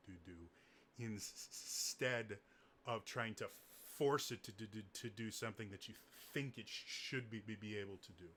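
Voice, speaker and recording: A man with a low, deep voice talks casually through singing advice, often catching and repeating the first sound of a word. A faint hum of room ambience sits under his voice. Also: fairly bright tone. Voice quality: naturally deep voice. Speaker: singing coach. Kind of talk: casual advice talk to camera.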